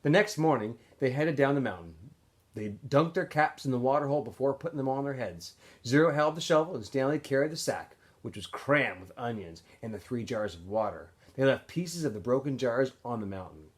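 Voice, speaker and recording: A man reading a novel aloud as a teacher would for students, in an expressive, animated storytelling way with phrases that often trail off softer. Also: mid-range voice, brisk. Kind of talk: expressive audiobook-style read-aloud narration.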